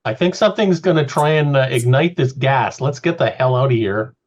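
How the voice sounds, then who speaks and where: A man's voice: mid-range voice, lively intonation, a little fast, darkish tone, lo-fi sound. A man playing in an online tabletop game, joking around and talking strategy with his group. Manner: joking, plays with silly accents. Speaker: player. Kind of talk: casual game chat.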